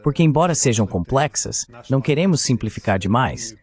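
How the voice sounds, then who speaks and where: A man's voice: medium pitch, lively intonation, a little fast. A man voicing the translation of an interviewer's remarks, in a conversational commentary style. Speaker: voice-over translator of an interview host.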